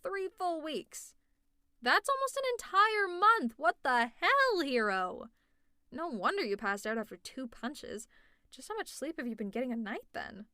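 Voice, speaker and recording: Woman acting out a female supervillain, lightly high voice, sarcastic, teasing, exasperated yet caring, conversational, ASMR roleplay, dry close sound. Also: sing-song, brisk, fairly bright tone, dry recording. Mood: shocked, exasperated. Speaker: audio roleplay performer.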